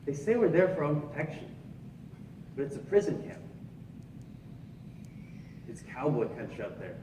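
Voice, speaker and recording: An actor playing a young Japanese-American sergeant earnestly recounting camp life in a live 1940s-style radio play. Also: male voice, medium pitch, natural voice. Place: theatre stage, live performance. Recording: roomy stage recording, distant mic, noisy.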